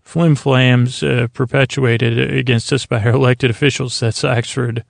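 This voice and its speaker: A man hosting a bedtime podcast, talking in a meandering, halting monologue that circles back on itself, with a creaky voice. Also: mid-range voice, steady tone, dry recording, studio quality. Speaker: podcast host. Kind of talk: rambling bedtime-story podcast intro monologue.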